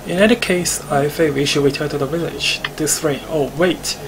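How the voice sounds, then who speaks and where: A man's voice: on the high side, steady tone, moderate pace, crowd noise, lightly acted, low-quality recording. A man voicing an earnest, sensible young man who is easily embarrassed, in a visual novel read-aloud. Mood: earnest, concerned. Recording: game music behind.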